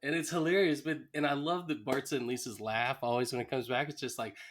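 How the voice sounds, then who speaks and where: A man's voice: medium pitch, steady tone, brisk, fairly bright tone. A man with a mid-range voice co-hosts a podcast. He gives casual commentary in an everyday conversational style. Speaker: podcast co-host.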